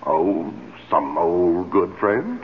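Deep, swooping ghostly husband. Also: male voice, sing-song, drawn-out words, exaggerated voice. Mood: slyly suggesting. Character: urbane male ghost of a late husband. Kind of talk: old-time radio drama acting. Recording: dull, noisy old radio broadcast.

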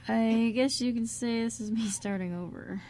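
A woman playing an easygoing, bashful man with a halting, soft-hearted manner in an amateur radio drama. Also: a little high, natural voice. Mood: sheepish, warm. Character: Quinn, easygoing, bashful man with a shady past. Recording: noticeable background noise.

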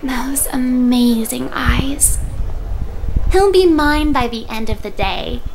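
A woman voicing a lovestruck, scheming cartoon chicken girl in a game cutscene, with a sweet, dreamy manner and breathy whispers. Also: sits high, slow pace, noisy background, heavily acted.

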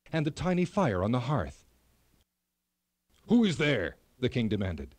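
A man narrating a scripted children's story as a storyteller, with expressive, clearly phrased reading. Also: a little high, no room sound.

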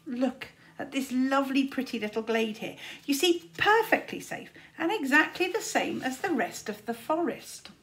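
A woman's voice: sits high, animated, normal speed, few pauses, a bit bright, voice pushed up. A woman voicing a cheeky, reckless young wizard boy in a storybook, breezy and carefree.

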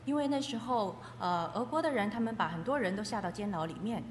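A woman interprets a testimony phrase by phrase at a fast pace. Her pitch is medium, and her voice carries the reverb of a hall, with faint noise behind it. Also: expressive. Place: echoey hall.

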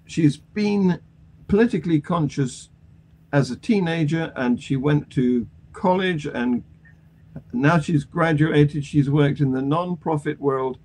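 A man hosting a news discussion on a video call, with a halting delivery. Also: a little high, a little mellow. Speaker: panel show host. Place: indoor home room on a video call.

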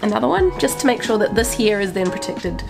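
A woman's voice: medium pitch, a little fast, creaky. Woman, 30s, congested, self-taught DIY vlogger, close handheld camera, guitar music bed. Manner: chatty, self-taught, excitable. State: congested from flu. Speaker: van-build vlogger. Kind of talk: casual DIY explainer. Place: inside a van under construction. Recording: guitar music playing underneath.

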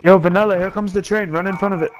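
High-voiced young man teasing his squad. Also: fairly even intonation, quick pace, a little hiss or hum. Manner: teasing, loud, swears a lot. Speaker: player recording the game. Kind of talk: casual gaming banter.

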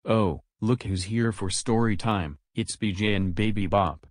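Man voicing a cheerful husky-toned dinosaur. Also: lowish voice, unhurried, no room sound, studio quality. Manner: cheerful, patient, addressing child viewers. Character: friendly big purple dinosaur, children's show host. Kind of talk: children's show dialogue, simple and explanatory.

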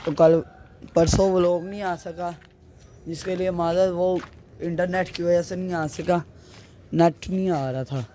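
Hoarse young man, flat delivery. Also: sits high, a little slow, pauses often, slight background noise. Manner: chatty, loosely rambling while showing things. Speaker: vlogger. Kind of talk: casual vlog chat. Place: outdoor rooftop. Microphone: handheld phone.

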